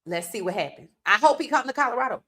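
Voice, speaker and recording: A woman's voice: on the high side, animated, fast, fairly bright tone. A woman hosting a casual sports podcast, a little congested from a cold, chatting loosely and talking straight to her viewers. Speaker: podcast host.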